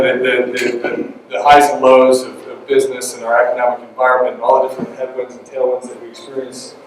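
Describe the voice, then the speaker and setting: A man's voice: mid-range voice, a little hiss or hum. A man speaking at a live event, rambling and restarting often as he introduces the next speaker. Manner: rambling, halting with many restarts and fillers. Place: large event room with an audience. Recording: echoey hall, mic from a distance.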